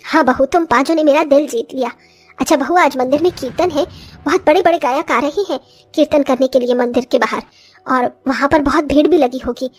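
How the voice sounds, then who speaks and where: A woman voicing a short older village mother-in-law in a cartoon story, complaining and exclamatory but grudgingly soft-hearted. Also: sits high, brisk, close to an everyday voice. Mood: warm. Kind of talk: Hindi cartoon story voice acting.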